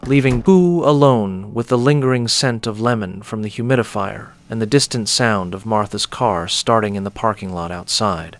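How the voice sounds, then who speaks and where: A man narrating a scripted audio story in a measured, descriptive manner. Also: on the low side.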